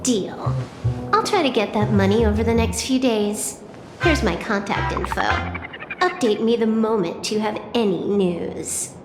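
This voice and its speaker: An actress playing a blunt, nonchalant young hacker fugitive in a video game. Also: female voice, medium pitch, measured pace, roomy sound, in a large hall, music in the background, close to an everyday voice. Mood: cool, cheeky. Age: young adult. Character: cool, cheeky young female hacker fugitive.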